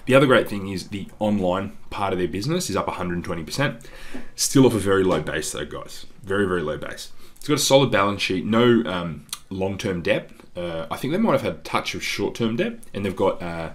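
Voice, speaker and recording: A man hosting an investing channel, talking casually through slides with a congested voice, often calling his viewers "guys" and filling pauses with ums. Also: low voice, very animated. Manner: chatty, keeps saying "guys", frequent ums. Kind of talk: casual stock analysis over slides. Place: voice-over on screen-share slides.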